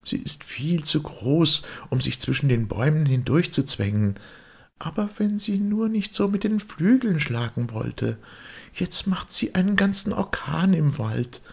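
Man voicing a gentle high-pitched little girl. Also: lively intonation, measured pace, vocal fry, slightly rough, heavily acted. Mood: wondering, uneasy. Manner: gentle, polite. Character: Alice, young girl, gentle, polite, wondering.

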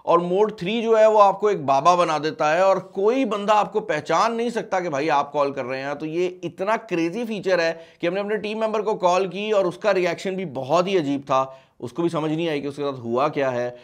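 A man's voice: high-pitched, moderate pace, hardly any pauses. A man in his twenties with a Pakistani accent, hosting a casual product review and mixing in English words. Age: 20s. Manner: mixes English words and phrases into speech. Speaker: tech review host. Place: small studio at a desk, indoors.

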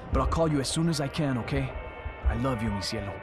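Man acting gentle weary male soldier, soft reassuring father, game drama, music clearly behind. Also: mid-range voice, animated, quick pace, natural voice. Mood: strained, reassuring. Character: tender, weary soldier father hiding danger.

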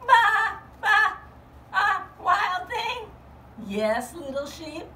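A timid little sheep girl acted by a woman bleats in a very high, squeaky voice and trips over shy ums and uhs. The sound is roomy and distant, as if recorded from across a room. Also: sing-song, drawn-out words, sharp tone, exaggerated voice. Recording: roomy, from far off, faint hum.

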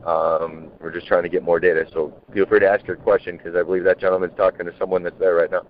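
A man's voice: deep voice, flat delivery, fast talker, slight background noise. A man talking casually and bluntly on a group conference call over the phone. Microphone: telephone. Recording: muffled tinny phone conference line.

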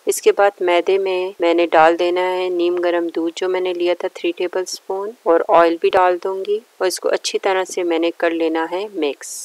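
A woman's voice: lowish voice, little pitch movement, moderate pace, few pauses, darkish tone, smooth, no echo, low-quality recording. A woman narrating a recipe step by step in a plain, instructional way. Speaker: cooking show host.

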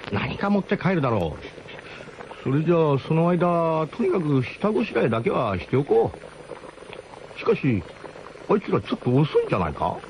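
A man's voice: medium pitch, background noise, natural voice. A man playing a camper who narrates a comic radio drama in a grandiose, mock-solemn way with colloquial turns. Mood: musing, expectant. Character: male camper grandly recounting his gang's ramshackle expedition.

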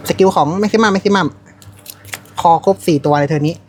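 A woman's voice: mid-range voice, normal speed, soft background music. A woman playing a card game at a shop table, calling out her moves and damage numbers in short bursts. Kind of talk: casual play-by-play at a card table. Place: comic shop gaming table.

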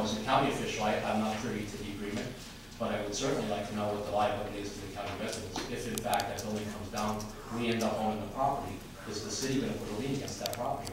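An older man with an American accent making an earnest, formal public comment to a city council as an official, repeating his phrasing for emphasis. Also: lowish voice. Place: large indoor council chamber. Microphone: camera from across the room. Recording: distant, very echoey, noisy room recording.

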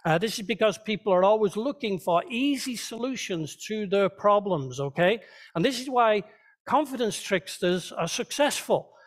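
A man's voice: sits high, animated, moderate pace. A man preaching a sermon as a pastor, teaching conversationally and checking in with his listeners. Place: church, speaking to a congregation.

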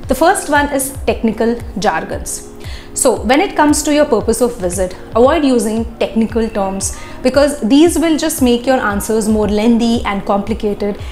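A young woman with a fairly high voice explains interview tips in a clear, instructive way. She speaks into a lapel mic in an echoey office with glass walls. Faint music plays underneath. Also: darkish tone. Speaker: visa interview coach. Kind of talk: advice video to camera.

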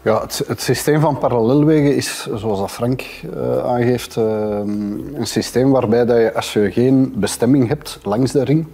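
A man's voice: medium pitch, measured pace, creaky, few pauses, mellow, soft background music. A man in his forties with a Flemish accent, explaining things as an expert in conversation. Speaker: expert panelist. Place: indoor café-style room, at a table.

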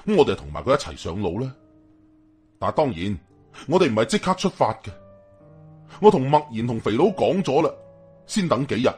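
A man's voice: medium pitch, slightly rough. A man with a Hong Kong Cantonese accent telling a story in a colloquial, suspenseful, dramatic narration.

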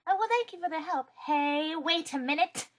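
A woman's voice: very high-pitched, animated, normal speed. A woman voicing a polite, naive young policewoman in a parody cartoon. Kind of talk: fan-dub parody cartoon acting.

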